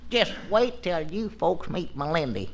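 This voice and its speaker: An actor playing a cheerful, oblivious old country fellow with a rural American accent in a radio comedy. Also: male voice, high-pitched, very animated, a little slow, exaggerated voice. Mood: eager, cozy. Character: folksy old bearded male hayseed, cheerful and oblivious. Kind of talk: 1950s radio comedy acting. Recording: noisy old radio recording.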